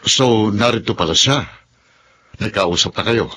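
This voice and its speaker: A man's voice: fairly low pitch, unhurried, pushed and bright. A Filipino actor playing an authoritative middle-aged father questioning his son in a radio drama, probing and emphatic. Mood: inquiring. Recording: faint noise and ambience.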